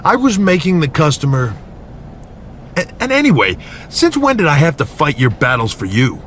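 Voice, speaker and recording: A man's voice: a little high, huge pitch swings, normal speed, fairly bright tone, a little hiss or hum. A man playing a flippant, indignant wrestler in a video game, sarcastic and defensive. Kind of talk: video game cutscene acting.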